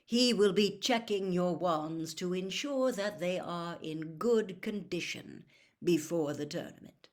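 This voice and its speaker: A woman voicing a courteous old male wizard headmaster in a storybook reading, measured and dryly amused. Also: lowish voice, close to an everyday voice. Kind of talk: storybook character voice.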